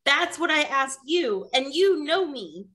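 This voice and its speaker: A woman playing a treasure-loving, flippant adventurer with a casual, wry way of talking in an animated fantasy table read. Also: sits high, measured pace, exaggerated voice. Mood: puzzled.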